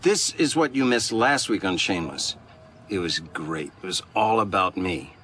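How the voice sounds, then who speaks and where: A crude, profane TV dad. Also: male voice, deep voice, lively intonation, normal speed, slight background noise. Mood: blunt. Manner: blunt, profane, offhand. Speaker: recap narrator for a TV series. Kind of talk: scripted TV recap. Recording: TV soundtrack with loud music.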